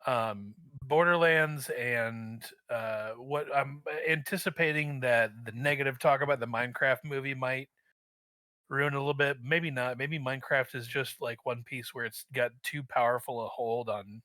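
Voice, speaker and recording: A man's voice: medium pitch, steady tone, moderate pace, pushed and bright, dry recording. A man chatting casually as a podcast guest, feeling his way through his thoughts and wandering off on tangents. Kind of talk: casual chat about movies.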